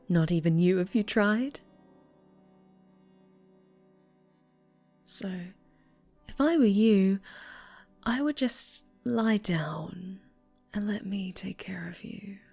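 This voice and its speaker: A woman playing an obsessive female superhero captor in an ASMR roleplay, speaking in breathy coos and whispers with sweet, possessive menace. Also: mid-range voice, lively intonation, slow pace, pauses often, faint music behind, heavily acted. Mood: quietly threatening. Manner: breathy cooing that slips into whispers and soft menace. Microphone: close microphone.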